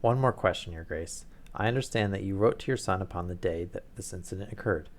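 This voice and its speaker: A man voicing a calm, polite male detective who speaks in a bland, measured way. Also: sits low. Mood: bland, probing.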